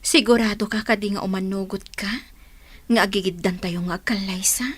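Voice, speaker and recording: A woman playing an anxious, tender young bride-to-be in a romantic radio drama, breathy and pleading. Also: mid-range voice, steady tone, fairly quick, a bit bright.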